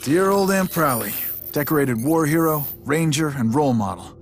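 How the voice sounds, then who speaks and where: A man's voice: fairly high pitch, expressive, moderate pace. A man playing a reckless, irreverent young orphan ranger in a video game, with a wry, sardonic manner. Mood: wry narration. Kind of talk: video game voice acting with narration. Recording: loud game music over voice.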